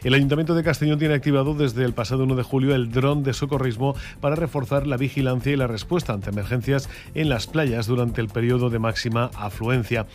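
Guy reading the radio news, mid voice, steady and formal. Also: mid-range voice, faint music behind. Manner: measured, formal newsreader delivery.

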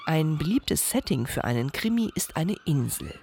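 A woman reporter reading a scripted radio feature in a lively, measured way. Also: sits low, vocal fry, shouting, heavy background noise.

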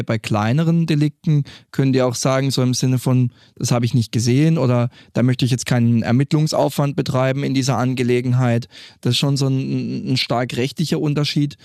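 A man who once led a police patrol group, explaining at some length in a studio interview and pausing now and then to find his words. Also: medium pitch, fairly even intonation, darkish tone, no room sound.

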